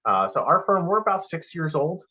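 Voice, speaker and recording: A man's voice: fairly high pitch, big pitch swings, normal speed, sharp tone, husky. A man working as a venture capital investor, introducing himself in an upbeat, polished way on a video call. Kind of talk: casual webinar introduction. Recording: dull, muffled call audio.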